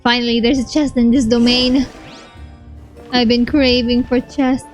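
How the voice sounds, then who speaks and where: A woman's voice: fairly high pitch, level tone, unhurried, faint music behind. A woman giving casual commentary as she plays through a video game. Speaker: gaming streamer.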